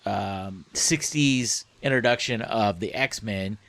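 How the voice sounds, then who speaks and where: A man's voice: on the low side, takes it slowly, vocal fry, fairly bright tone. A man co-hosting a casual book club podcast, speaking informally with a joking, crude sense of humour. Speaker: podcast co-host.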